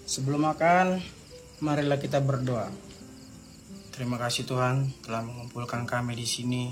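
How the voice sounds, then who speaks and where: A solemn father figure, played by a man, leads grace at the table. His voice sits at an ordinary middle pitch and moves slowly. Soft music plays underneath the film audio. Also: steady tone, natural voice. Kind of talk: film dialogue clip.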